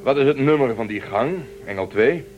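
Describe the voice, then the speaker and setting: A brisk male starship commander played by a man, decisive and animated in pitch. The sound is slightly dull, with faint music, in a radio play. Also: a little hiss or hum, natural voice. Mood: decisive, concerned. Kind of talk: science fiction radio drama acting.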